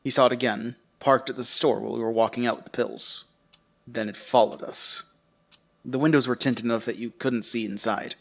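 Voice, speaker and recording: Casting: weary, uneasy man telling his horror story, conversational and halting, medium pitch, audio drama lead. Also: fairly even intonation, vocal fry. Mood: weary, reflective. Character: weary, uneasy male survivor recounting a horror ordeal. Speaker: narrator and lead character. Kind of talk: audio drama first-person narration.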